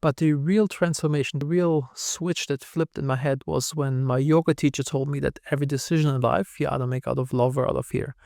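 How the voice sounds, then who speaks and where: A man's voice: medium pitch, fairly even intonation, brisk, vocal fry, few pauses, darkish tone, no room sound, studio quality. A man with a German accent, a podcast guest telling candid, self-deprecating stories. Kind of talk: casual interview talk.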